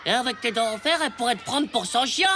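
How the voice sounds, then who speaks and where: An actor voicing a cheeky, imaginative ten-year-old boy in a cartoon, cocky and excitable, in slangy schoolyard talk. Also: medium pitch, quick pace, close to an everyday voice. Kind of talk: French animated cartoon acting. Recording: music behind the voice.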